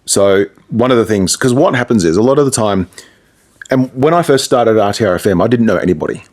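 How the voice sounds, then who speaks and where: A man's voice: fairly low pitch, expressive, a little fast, a little mellow. A man talking casually in an interview as a radio presenter, explaining things through anecdotes and asides.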